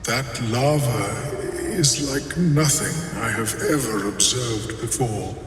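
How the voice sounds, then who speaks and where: Game casting: male actor as courteous mind flayer alchemist, unhurried and precise, distant and echoing over faint music. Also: medium pitch, close to an everyday voice. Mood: grave, puzzled. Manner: measured, formal, precise diction. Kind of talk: video game character acting.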